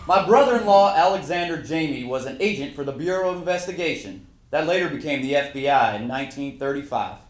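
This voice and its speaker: A man's voice: on the high side, lightly acted. A man playing a famous, proud 1930s Prohibition lawman, giving a formal, matter-of-fact recitation of his career. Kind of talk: first-person historical monologue, read aloud. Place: indoor room at a live reading event. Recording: echoey room, live stage reading.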